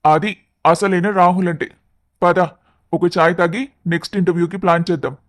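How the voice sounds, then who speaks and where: High-pitched, encouraging male best friend, acted by a man. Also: steady tone, a little fast, no echo, clean studio sound, natural voice. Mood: motivating. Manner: advising, motivational. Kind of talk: moral story dialogue for animation.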